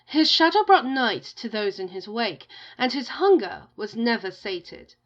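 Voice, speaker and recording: A woman playing a solemn storyteller, reciting an old folktale in a measured, rhythmic way. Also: a little high, sing-song, fairly bright tone. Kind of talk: scripted storybook narration. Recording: slightly dull, muffled audio.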